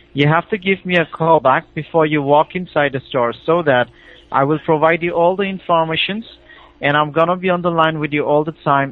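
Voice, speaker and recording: A man with an Indian accent talking over the phone as a caller, explaining a procedure in an insistent, repetitive, persuasive way. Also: a little high. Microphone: telephone call. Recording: tinny, band-limited phone line.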